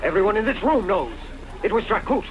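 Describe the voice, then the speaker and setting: A man's voice: sits high, lively intonation, a little fast. A man playing a scheming, blustering villain in a theatrical sword-and-sandal film dub. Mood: blustering denial. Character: scheming male villain, accused murderer seeking power. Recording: dull, noisy fan-dub audio.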